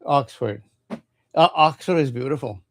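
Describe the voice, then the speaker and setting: A man from Cuba, reading tarot cards in a halting, casual livestream chat and often repeating his words. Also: medium pitch. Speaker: tarot reader.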